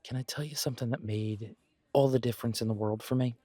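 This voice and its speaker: A man's voice: mid-range voice, fairly even intonation, mellow, no echo, close to an everyday voice. A man playing a supportive, self-deprecating best friend in a conversational roleplay audio, talking in a reassuring, reflective way. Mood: encouraging. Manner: reassuring, chatty, reflective pauses. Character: warm, encouraging male best friend, self-deprecating, gently earnest.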